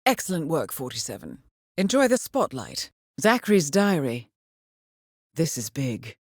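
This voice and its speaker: Dry, poised spy handler, lively intonation. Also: female voice, sits low, unhurried, no echo, exaggerated voice. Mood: quietly satisfied. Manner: composed, clipped, wryly understated. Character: poised, dry-witted female intelligence handler guiding an assassin. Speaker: mission handler. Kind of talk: scripted game briefing dialogue.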